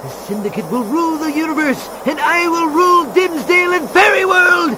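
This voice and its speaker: An actor playing a manic, power-mad cartoon villain in a video game, ranting boastfully and hysterically. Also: male voice, very high-pitched, brisk, heavy background noise. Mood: gloating.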